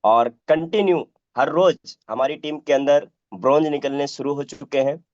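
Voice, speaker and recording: A man from Bihar, hoarse from a cold, giving a polite, upbeat update to his team on a call. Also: a little high, normal speed, no echo. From Bhagalpur, Bihar, India. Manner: polite, upbeat status report. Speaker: team leader. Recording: dry, dull call audio.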